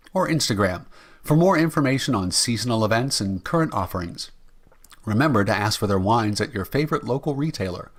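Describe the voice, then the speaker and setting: Male radio announcer, medium pitch. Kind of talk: scripted radio announcing and narration.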